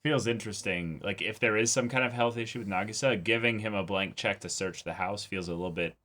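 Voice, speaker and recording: Guy with a lowish, really bright punchy voice, hosting a casual anime reaction chat. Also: quick pace. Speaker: anime reaction show host.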